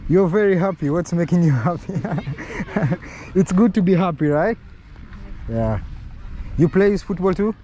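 A man's voice: high-pitched, expressive, unhurried, long pauses, mellow, lo-fi sound. A young man from Kenya, a travel vlogger, chatting with locals on an outdoor football field into an action camera, friendly and repeating his thanks. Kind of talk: casual chat with locals. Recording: clear outdoor noise.

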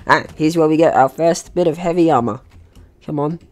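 A man's voice: a little high, a little fast. A man giving casual gameplay commentary, chatting through his own actions in short remarks. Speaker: gaming video host.

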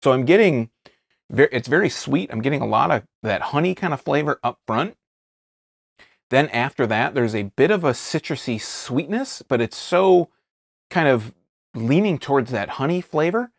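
An American man in his thirties giving a casual, unscripted review to camera, halting and rephrasing as he describes things. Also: medium pitch, animated, vocal fry, dry recording, studio quality. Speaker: beer reviewer, video host. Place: small indoor studio, seated at a table.

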